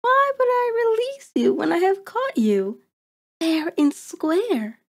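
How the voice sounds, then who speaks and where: A woman's voice: very high voice, sing-song, takes it slowly, heavily acted. A woman playing a cruel, predatory vampire in an ASMR horror roleplay, taunting and haughty, savoring words in formal diction. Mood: mocking, haughty. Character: cruel, predatory female vampire toying with her captive.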